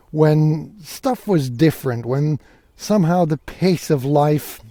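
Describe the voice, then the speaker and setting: Bright-voiced man podcasting, relaxed and dry. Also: a little high, no room sound. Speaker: podcast host. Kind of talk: casual solo podcast chat. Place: outdoors, walking through countryside fields. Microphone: portable podcasting rig.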